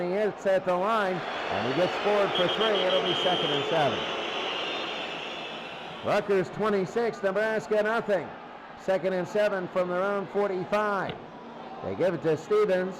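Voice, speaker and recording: High-pitched man calling football over noise. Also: moderate pace. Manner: clipped, repetitive short phrases. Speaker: play-by-play sports announcer. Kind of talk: live American football commentary.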